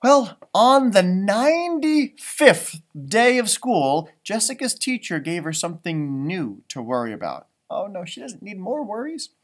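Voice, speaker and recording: A man in his thirties reading a children's storybook aloud, expressive, with chatty asides and drawn-out emphasis. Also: high voice. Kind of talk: animated read-aloud with casual asides.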